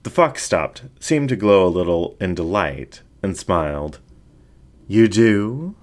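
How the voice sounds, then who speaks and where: A man's voice: low-pitched, sing-song, measured pace. A man narrating a story in a dramatic, scripted audiobook style.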